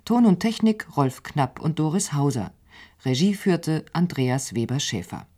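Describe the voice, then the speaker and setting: A woman's voice: sits low, very animated, a little slow, noisy background. A woman radio announcer reading scripted programme credits in a formal broadcast style.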